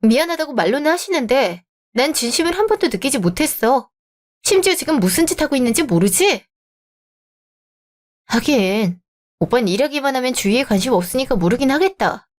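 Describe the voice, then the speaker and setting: A woman's voice: sits high, big pitch swings, brisk. A young woman who speaks in a cool, firm and cutting way, playing the heroine of a radio drama. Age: young adult. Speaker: heroine of the story.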